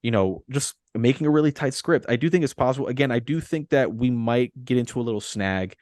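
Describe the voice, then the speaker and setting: A man's voice: mid-range voice, fairly quick, darkish tone, no echo, studio quality. A man chatting casually about movies as a podcast co-host, earnest and repeating phrases for emphasis.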